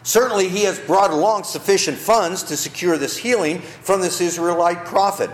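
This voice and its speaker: Male pastor, high animated voice, room echo from the church. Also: normal speed. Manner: storytelling, rhetorical questions. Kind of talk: sermon.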